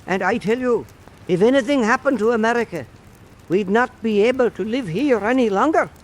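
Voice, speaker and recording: An actor playing a friendly, plain-spoken Irish islander in a radio drama, with an Irish accent. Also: male voice, extremely high pitch, a little fast. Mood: earnest.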